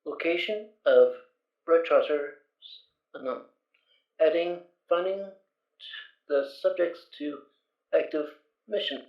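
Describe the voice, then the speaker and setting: Guy doing a stiff suit computer voice, slightly high, echoey and far from the mic. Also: takes it slowly, long pauses. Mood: monotone. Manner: clipped system-status announcements. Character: automated armor suit computer voice, flat and procedural. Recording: roomy, distant, slightly dull audio.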